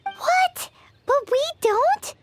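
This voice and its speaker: Tiny squeaky curious kid sidekick from a game, super high and swoopy, music behind. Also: female voice, expressive, extremely slow, heavily acted. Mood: puzzled. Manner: chirpy, inquisitive. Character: small childlike floating companion, chirpy, blunt and curious. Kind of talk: video game voice acting.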